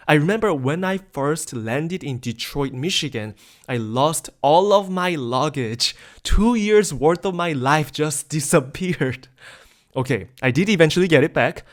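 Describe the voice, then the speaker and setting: A man in his thirties from South Korea hosting a webinar, delivering an upbeat, persuasive scripted sales pitch. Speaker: webinar host.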